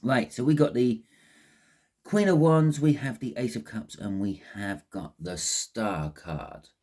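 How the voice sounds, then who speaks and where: A man's voice: mid-range voice, slow pace, a little mellow. A man with a phlegmy throat giving a casual, rambling tarot reading, often repeating words and restarting sentences. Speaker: tarot reader.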